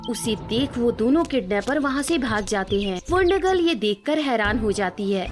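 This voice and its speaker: A woman narrating a scripted children's cartoon story. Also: a little high.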